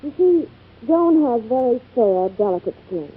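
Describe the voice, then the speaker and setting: Female vintage radio ad reporter, gushing, animated delivery, tinny narrow-band broadcast. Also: on the high side, a little slow, breathy edge. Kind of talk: scripted 1940s radio advertising.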